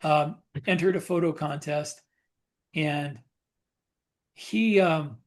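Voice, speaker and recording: A man chatting casually as a podcast co-host, explaining things in a halting way. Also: fairly high pitch.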